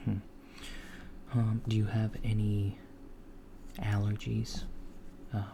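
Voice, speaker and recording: A man voicing a calm alien doctor in a softspoken ASMR roleplay, talking in a hushed, partly whispered way with an unhurried, hesitant manner. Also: lowish voice, vocal fry, noisy background, heavily acted. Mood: calm clinical. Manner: unhurried, hesitant, with many fillers and murmured acknowledgments. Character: calm alien doctor examining a human patient.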